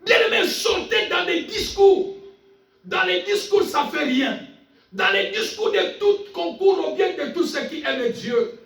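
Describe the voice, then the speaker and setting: A male preacher with a very high-pitched voice delivers a fervent sermon with big swings in intonation. He is insistent and repeats phrases for emphasis. The sound is echoey and picked up at a distance from the mic. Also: lively intonation, unhurried, vocal fry, a bit bright. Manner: calls on listeners for amens. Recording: echoey room, distant mic, faint music.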